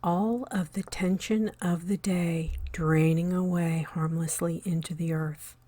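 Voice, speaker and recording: A woman narrating a scripted guided meditation in a soothing, measured way, with a soft voice that often fades toward a whisper and long pauses between short phrases. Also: on the low side, fairly even intonation, no echo.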